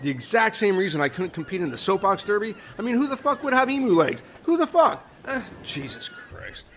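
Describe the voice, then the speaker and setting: A man playing an excitable, laid-back castaway in an audio comedy, exclaiming and swearing in slang. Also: high voice, brisk, slight background noise, full character voice. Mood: frustrated. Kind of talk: comedy audio drama acting.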